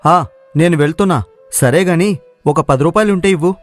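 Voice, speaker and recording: A man's voice: on the high side, moderate pace, close to an everyday voice. A man playing a lazy, cocky young village daydreamer, brash and impatient, in a moral story. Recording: music playing behind the voice.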